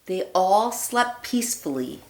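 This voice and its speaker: A woman teacher reading a children's picture book aloud, phrasing deliberately and expressively with emphasis on the rhymes. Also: mid-range voice, sing-song, a little mellow. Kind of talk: read-aloud storytelling for young children. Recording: echoey room.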